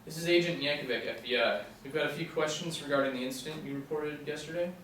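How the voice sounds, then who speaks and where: Quick man reading a businesslike federal agent. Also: on the high side, a bit bright, natural voice. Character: businesslike male FBI agent questioning a witness. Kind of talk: scripted table read of a TV pilot. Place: small indoor room, seated reading. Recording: very echoey room, distant mic, faint noise.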